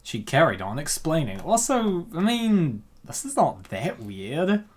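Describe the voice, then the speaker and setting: A man's voice: fairly high pitch, huge pitch swings, a little slow, a little mellow. A man narrating a comic dub and breaking into slangy, jokey casual commentary. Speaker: host and narrator.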